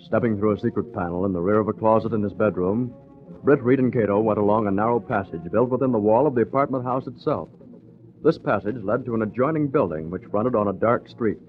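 A man narrating a 1940s radio drama as announcer, with dramatic, measured phrasing. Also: on the low side, soft background music. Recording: muffled, noisy vintage radio recording.

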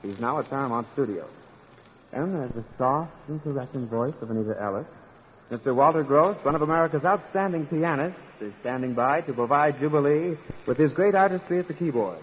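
A man's voice: mid-range voice, expressive, fairly quick. A man working as master of ceremonies, speaking scripted lines on a 1940s radio variety show. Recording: dull, noisy old radio recording.

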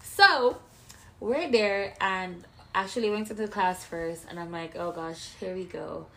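A woman's voice: lowish voice, measured pace, creaky. Woman, playful podcast co-host. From Jamaica. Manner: animated storyteller, playful. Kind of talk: casual chat.

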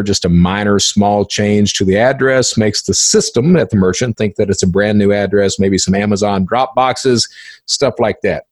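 A man's voice: lowish voice, a little mellow, no room sound. A man hosting a podcast interview, explaining things methodically, step by step.

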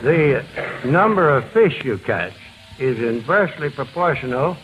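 A man, a professor on a radio quiz panel, explaining with deadpan, hesitant scholarly delivery on a crackly vintage recording. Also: mid-range voice, unhurried, dull audio.

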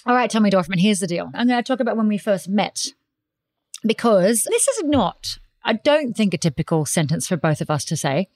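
Animated, teasing woman podcast host. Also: medium pitch, brisk, dry recording. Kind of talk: interview podcast.

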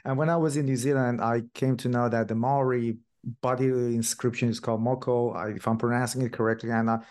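Guy hosting the interview, friendly chatty tone, mid pitch. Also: flat delivery, brisk, darkish tone. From Iran. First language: Persian. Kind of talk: interview conversation.

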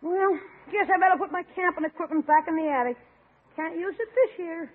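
An actor in an old-time radio comedy playing a scheming, anxious young schoolboy with a youthful, expressive voice. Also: very high-pitched, fairly quick. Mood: resigned. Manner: expressive, folksy exclamations. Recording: muffled vintage radio recording.

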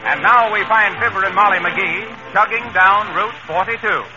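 A man's voice: high-pitched, normal speed. A man announcing a 1930s radio show with a florid, theatrical showman delivery. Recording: muffled old radio broadcast over music. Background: music.

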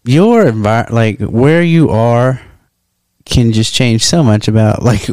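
Smooth-voiced man telling rambling stories. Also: mid-range voice, slow, a little mellow, no echo, clean studio sound. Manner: rambling storyteller, lots of "like" fillers. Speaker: podcast co-host. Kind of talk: casual chat.

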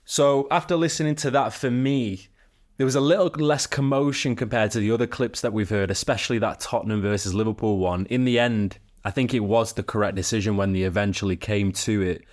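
Low-pitched man hosting a football podcast. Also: fairly quick. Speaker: podcast host.

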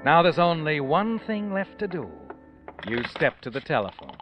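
A man's voice: on the high side, very animated, moderate pace. A man acting as the sly, taunting narrator of an old-time radio thriller, speaking insinuatingly to a guilty schemer. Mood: sly, ominous. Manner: sly, insinuating, addressing the character directly. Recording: muffled vintage radio recording, music behind.

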